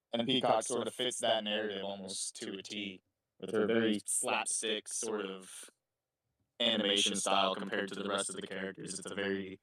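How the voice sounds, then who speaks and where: A man answering interview questions casually, explaining himself in measured short phrases. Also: fairly low pitch, a bit bright, low-quality recording. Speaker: interviewed voice actor.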